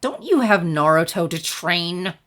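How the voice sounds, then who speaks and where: A woman voicing a sharp, sarcastic young female ninja with dry, pointed retorts in a story reading. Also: lowish voice, sing-song, a little slow. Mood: sarcastic, clipped. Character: sharp, sarcastic young kunoichi with hidden anger.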